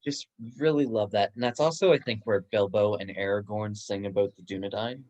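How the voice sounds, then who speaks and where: A man's voice: fairly low pitch, fairly quick, a little mellow. A man chatting casually and enthusiastically in a book discussion. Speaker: book club guest, bookstore worker.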